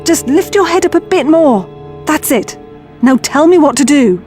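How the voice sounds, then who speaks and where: An anxious, determined young woman in an audio drama. Her voice is fairly high, her manner urgent, blunt and wry, with faint music behind. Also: animated, normal speed, a little mellow.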